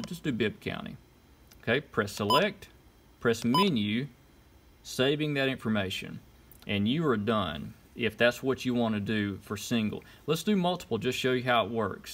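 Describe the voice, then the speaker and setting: Guy with a creaky voice walking you thru steps, casual, noisy room w clicks and beeps. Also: mid-range voice. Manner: informal, talks through each step in short instructions. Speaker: instructor. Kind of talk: casual step-by-step how-to demonstration. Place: indoors at a desk. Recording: clear background noise, clicks and beeps. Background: button clicks and electronic beeps.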